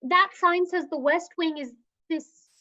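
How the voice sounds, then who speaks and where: A woman's voice: very high voice, measured pace, pushed and bright, full character voice. A woman voicing Dottie, an earnest and determined young woman, in a livestreamed script reading. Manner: earnest, firm. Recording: dull lo-fi sound.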